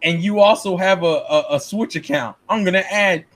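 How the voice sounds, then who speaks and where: A man's voice: high-pitched, normal speed, fairly bright tone. A man debating casually as a podcast co-host, complaining in an incredulous way and swearing freely.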